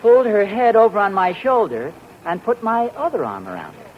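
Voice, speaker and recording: A man's voice: high-pitched, big pitch swings, a little fast. An actor playing a husband who teases his wife with a boastful story in a 1940s radio sitcom. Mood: teasing. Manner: teasing, playfully boastful storyteller. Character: teasing husband spinning a boastful tale. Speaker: sitcom lead. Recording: muffled 1940s radio broadcast with hiss.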